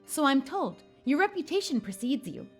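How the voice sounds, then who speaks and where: A woman's voice: high-pitched, big pitch swings, moderate pace, soft background music. A woman voicing an elegant, imperious countess in a formal, commanding manner, as read from a visual novel game. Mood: grave, formal. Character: imperious, elegant countess haunted by troubling dreams.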